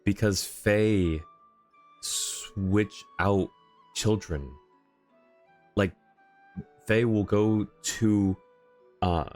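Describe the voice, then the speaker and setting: A man with a low voice chats to his stream while he plays. He jokes around and keeps breaking off his own sentences, with faint music behind him. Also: darkish tone. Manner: joking, halting, self-interrupting. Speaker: livestreamer. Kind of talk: casual game stream commentary, chatting with viewers.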